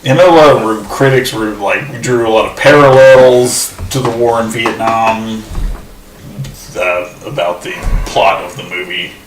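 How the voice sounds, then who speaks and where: A man's voice: fairly low pitch, unhurried. A man co-hosting a podcast, agreeable and hesitant in his casual chat. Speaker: podcast co-host. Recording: distant echoey mic with faint noise.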